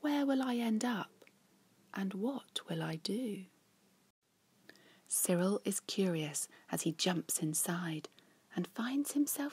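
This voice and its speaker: Female storyteller for young children. Husky, airy tone, very dry close sound, expressive and measured. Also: medium pitch, no room sound. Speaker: author reading own children's story.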